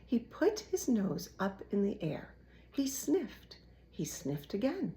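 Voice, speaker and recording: A woman reading a children's storybook aloud in an expressive way. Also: mid-range voice, takes it slowly. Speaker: children's book reader.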